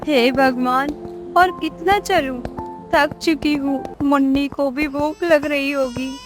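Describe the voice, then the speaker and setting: Weary young mother for a cartoon: very high voice, slow plaintive delivery. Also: steady tone, over music. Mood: weary, despairing. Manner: plaintive, pleading. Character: pleading, helpless young mother, cast out and weary. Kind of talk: acted dialogue in Hindi moral-story cartoon.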